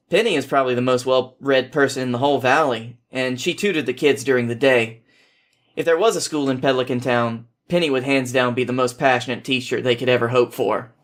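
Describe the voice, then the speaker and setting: A man reading a story aloud in an informal way, now and then stumbling over a word. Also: mid-range voice. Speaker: podcast host reading fan fiction.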